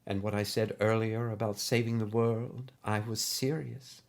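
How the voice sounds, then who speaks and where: A man voicing a gently insistent older female teacher, an android spirit in an audio story, speaking earnestly and in a measured way. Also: lowish voice, steady tone. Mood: urgent, earnest. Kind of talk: audio story character acting.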